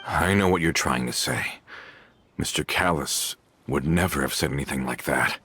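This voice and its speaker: Very deep, grave, resigned man. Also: moderate pace, sharp tone, a little hiss or hum. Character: grim, bitter, resigned male former gang member. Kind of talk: dramatic video game voice acting.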